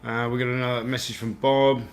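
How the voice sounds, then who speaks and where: A man's voice: medium pitch, flat delivery, brisk. A man from the UK hosting a casual livestream, chatting and reading out viewer comments. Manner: chatty, reads out viewer comments, laughs easily. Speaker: livestream host and toy auctioneer. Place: home room in Australia. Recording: echoey room.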